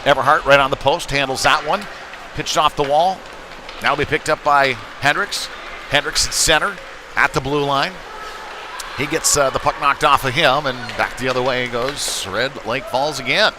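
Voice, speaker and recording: A man's voice: mid-range voice, moderate pace. A man doing live play-by-play hockey commentary in a folksy, conversational way. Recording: noisy rink with clear background noise.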